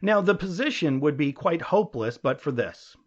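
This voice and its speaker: Expressive, higher-voiced man reading an audiobook. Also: moderate pace. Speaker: audiobook narrator. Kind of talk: scripted audiobook narration of an essay. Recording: dull, lo-fi audio.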